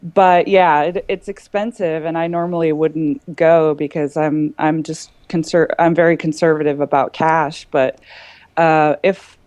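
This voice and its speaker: A woman's voice: low-pitched, fairly even intonation, a little slow, darkish tone, no room sound. A woman in a casual group conversation, explaining things earnestly as a panelist.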